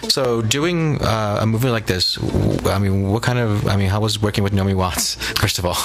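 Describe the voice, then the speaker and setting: A man's voice: lowish voice, moderate pace, loud music behind the voice. A man hosting a live radio interview, asking questions in an eager, chatty way. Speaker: host.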